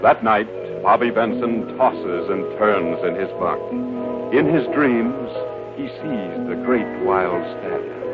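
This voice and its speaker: A man's voice: fairly low pitch, takes it slowly. An American man giving dramatic scripted narration for an old-time radio western. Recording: tinny vintage radio, music behind.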